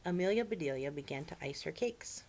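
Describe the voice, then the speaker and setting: Female story-time reader, fairly low voice, expressive picture-book narration with asides to children. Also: brisk.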